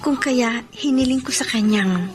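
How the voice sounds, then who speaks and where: A woman's voice: on the high side, unhurried, lightly acted. An actress in a Filipino radio drama playing a devoted, wounded woman leaving her partner, in a heartfelt, melodramatic way. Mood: wistful narration. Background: background music.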